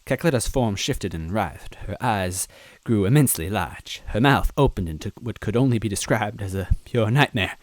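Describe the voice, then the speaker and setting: A man reading a story aloud as narrator, expressive and adding little asides to the listener. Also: fairly low pitch, moderate pace, hardly any pauses, dry recording. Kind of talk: reading fan fiction aloud.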